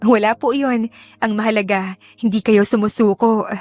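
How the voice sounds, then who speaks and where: A woman's voice: medium pitch, steady tone, moderate pace, a little mellow, no room sound, faint music behind, close to an everyday voice. A woman voicing a young laundrywoman in an audiobook drama, polite, deferential and earnest. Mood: encouraging, gentle. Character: young laundrywoman, gentle, polite, encouraging, flustered when worried.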